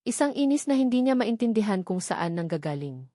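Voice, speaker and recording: A woman's voice: mid-range voice, very animated, brisk, mellow, no echo. Filipino woman narrating a romance, dry sound. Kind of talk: scripted dramatic romance story narration.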